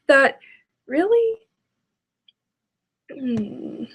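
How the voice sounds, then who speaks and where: A woman's voice: sits high, big pitch swings, drawn-out words, fairly bright tone, low-quality recording. A woman giving casual, sarcastic, exasperated reaction commentary.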